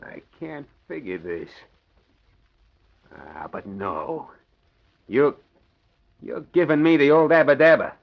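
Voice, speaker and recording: Suspicious mob henchman, swooping pitch, slangy bluster, bossy, muffled vintage radio recording. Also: sing-song, takes it slowly, gravelly, long pauses, heavily acted. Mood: incredulous. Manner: slangy, blustering tough talk. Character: excitable small-time male gangster henchman, bossy and suspicious. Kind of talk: 1940s radio crime drama acting. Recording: dull old 1940s radio recording.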